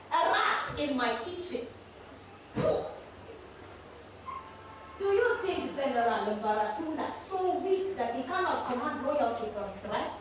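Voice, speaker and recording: A woman acting a menacing, indignant male warlord general in a theatrical stage monologue, declaiming with drawn-out emphasis. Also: high voice, exaggerated voice. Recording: echoey hall, distant mic, noisy.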